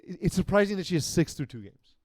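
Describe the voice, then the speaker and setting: Animated man talking sports, dry mic. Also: sits high, no echo. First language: not French. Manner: animated, quick asides, restarts. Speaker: podcast co-host. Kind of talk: casual sports chat.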